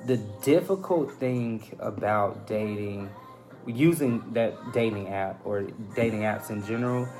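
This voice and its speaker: A young American man chatting casually on a podcast, thoughtful and halting as he searches for his words. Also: on the low side, a little mellow, rough recording. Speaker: podcast guest. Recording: faint music behind the voice.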